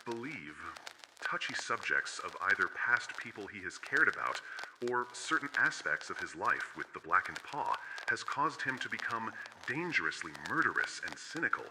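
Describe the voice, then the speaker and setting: A man playing a brooding, formal otherworldly therapist recording measured, clinical case notes in an analog horror audio drama. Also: fairly low pitch, big pitch swings. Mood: grave, clinical. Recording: noisy, clicky, radio-like recording.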